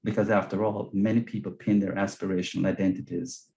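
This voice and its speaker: A man's voice: low voice, flat delivery, fast, warm tone, slight background noise. A man from Jamaica with a Jamaican accent, an earnest guest speaker on a video call who repeats words as he searches for them. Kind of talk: webinar talk and Q&A answers.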